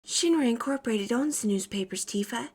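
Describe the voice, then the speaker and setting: A woman voicing a serious, skeptical and quietly mysterious young woman in measured video game dialogue. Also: on the high side, animated, husky. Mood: suspicious.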